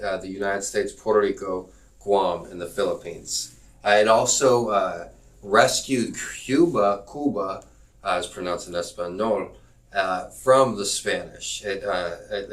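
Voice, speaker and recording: Low-voiced male teacher, rambling live-stream lecture, heard from back off the mic, lots of uhs. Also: a bit bright, recorded from a distance. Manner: rambling, many fillers and restarts, joking asides. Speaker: online geography teacher.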